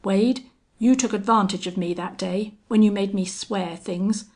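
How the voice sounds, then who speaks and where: A woman voicing a cowardly, blustering young man in a western audiobook, excitable and prone to stammering. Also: mid-range voice, measured pace, gravelly, slightly rough, heavily acted. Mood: defiant bluster. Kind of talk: audiobook character acting.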